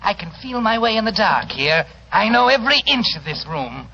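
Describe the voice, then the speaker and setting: An actor playing a mad murderous waxworks proprietor in 1940s radio style, over-polite, hesitant and full of gloating menace. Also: male voice, high voice, very animated, normal speed, creaky, exaggerated voice. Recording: muffled, noisy 1940s radio recording.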